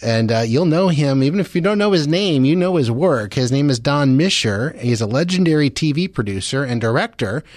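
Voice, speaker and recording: A man's voice: mid-range voice, expressive, fairly quick, few pauses, darkish tone, dry recording, studio quality. A man hosting a radio show, introducing and questioning a guest in a conversational style.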